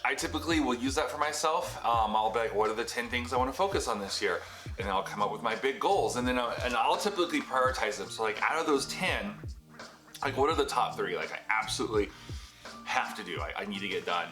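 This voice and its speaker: A bright, forceful male voice in his thirties, talking straight to the camera in a rambling, self-correcting way. The room rings with noticeable echo, and a little music sits faintly underneath. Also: medium pitch. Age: 30s. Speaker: business vlogger. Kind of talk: casual talking-head vlog. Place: home office room. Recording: echoey room with light music. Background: heater humming.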